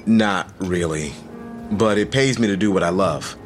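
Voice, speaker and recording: An actor playing a reserved, candid trauma survivor in naturalistic, slightly hesitant audio drama dialogue. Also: male voice, low-pitched, measured pace, faint music behind. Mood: tentative, polite. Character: reserved male trauma survivor working in game design.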